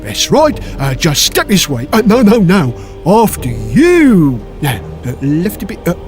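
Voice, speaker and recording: A man's voice: high-pitched, huge pitch swings, measured pace, darkish tone, soft background music, heavily acted. A man voicing a mean, scheming dwarf villain in an audiobook, muttering and impatient. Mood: falsely polite. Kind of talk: audiobook character voice.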